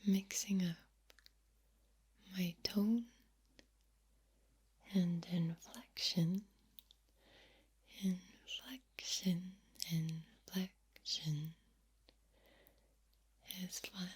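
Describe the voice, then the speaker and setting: A woman doing soft-spoken ASMR, mostly in a breathy whisper, deliberately drawing out and repeating words close to the microphone. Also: on the low side, fairly even intonation. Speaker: ASMR host. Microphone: close, sensitive microphone.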